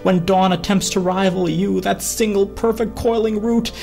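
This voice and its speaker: A woman's voice: mid-range voice, steady tone, normal speed, creaky, darkish tone, soft background music, close to an everyday voice. A woman voicing a lovestruck daisy that gushes ornate, pleading rhymed verse in a dramatic storybook reading. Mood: ardent pleading.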